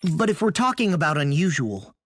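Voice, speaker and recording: A man's voice: a little high, sing-song, fairly quick, no echo, studio quality. A man voicing a kindhearted, scrappy teenage boy in a video game, talking casually and awkwardly. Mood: awkward reassurance. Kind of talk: dramatic video game acting.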